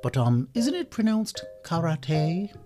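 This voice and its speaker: A performer voicing a dim, friendly, excitable young male dog with a hesitant, goofy way of talking. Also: mid-range voice, moderate pace, over music, pitched above the performer's own voice. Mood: puzzled. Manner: hesitant, goofy, full of ums and exclamations.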